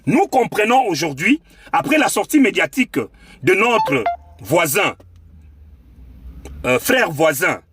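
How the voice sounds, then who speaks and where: A man's voice: high-pitched, very animated, slow pace, pauses often, noisy background. A man with a Congolese accent delivering an impassioned political monologue as a commentator, emphatic and rhetorical, repeating phrases for effect. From Democratic Republic of the Congo.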